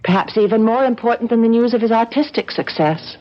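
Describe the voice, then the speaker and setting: An actress playing a warm, encouraging gallery woman, a loyal friend, in an expressive radio drama style. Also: on the high side, a little fast, natural voice. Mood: reflective. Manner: expressive, earnest. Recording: dull lo-fi vintage radio recording.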